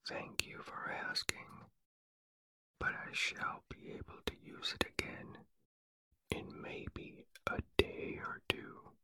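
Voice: takes it slowly, sharp tone. A reader whispering the lines of a gloomy, self-pitying old donkey in a storybook. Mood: glum. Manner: glum, self-pitying, complaining.

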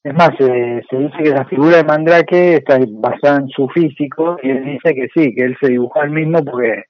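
A man's voice: medium pitch, normal speed. A man chatting casually as a podcast guest, loosely rephrasing as he goes. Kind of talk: casual chat. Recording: dull, dry, radio-like sound.

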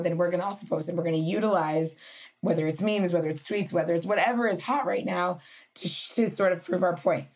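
A woman's voice: on the low side, darkish tone. A woman co-host and teacher, talking in a rambling, hesitant way with many restarts. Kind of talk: podcast conversation.

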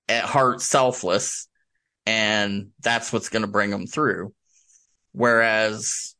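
A man speaking as a guest author in a casual interview, explaining things in a halting, rambling way. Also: lowish voice, bright, forceful tone. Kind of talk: casual interview chat about his book.

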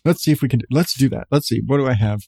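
A middle-aged man hosting his podcast with casual, profane rambling and frequent laughs in a calm voice. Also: medium pitch, racing, husky, no room sound, studio quality. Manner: profane, candid, laughs often. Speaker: podcast host. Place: seated at home on a creaky chair.

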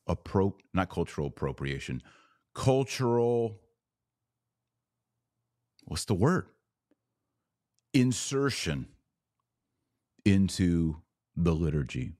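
Male podcast host, very deep and slow, sardonic and emphatic commentary, dry close mic. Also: mellow, no room sound. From Texas. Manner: sardonic, emphatic, shifts pace often. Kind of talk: opinionated solo commentary.

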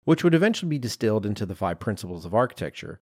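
A man with a lowish voice hosts a design talk in a casual, storytelling manner. His pitch moves around a great deal as he explains and acts out little asides. The sound is dry and close. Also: racing, dry recording. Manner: informal, animated storytelling with acted-out asides. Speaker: podcast host. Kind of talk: conversational explainer of design history.

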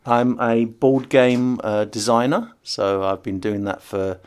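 A man's voice: medium pitch, fairly even intonation, takes it slowly, darkish tone, smooth. A middle-aged man from the UK, a podcast guest talking casually with many fillers and restarts. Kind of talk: casual interview conversation.

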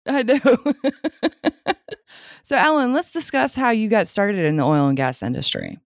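A woman's voice: a little high, lively intonation, unhurried, no room sound, studio quality. A woman hosting an interview podcast, friendly and laughing along with her guest. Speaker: podcast host. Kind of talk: casual interview chat.

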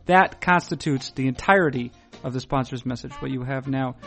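A man hosting a podcast, talking in a dry, wordy, formal deadpan. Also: medium pitch, moderate pace, creaky, soft background music. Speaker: podcast host. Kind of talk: deadpan scripted intro and ad read.

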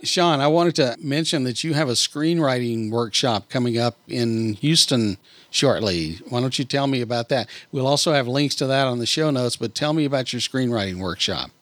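A man's voice: medium pitch, normal speed, a bit bright, dry recording. A man hosting a podcast, talking casually as he interviews guests over a remote call. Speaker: podcast host.

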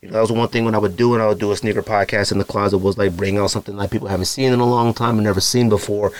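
A man's voice: on the low side, fairly even intonation, racing. A middle-aged man hosting a podcast, casually rambling with lots of ums and you-knows, self-deprecating. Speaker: podcast host. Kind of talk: casual solo sneaker show-and-tell.

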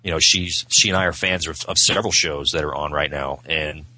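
A man's voice: rumbling low, steady tone, a little fast, pushed and bright, low-quality recording. A middle-aged man chatting casually and talkatively about TV in an informal way. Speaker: podcast guest.

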